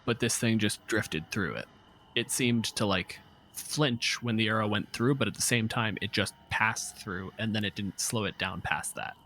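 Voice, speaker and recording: A man's voice: fairly low pitch, normal speed, bright, forceful tone, slight background noise. A man casually narrating a tabletop game as game master in a conversational, explanatory way.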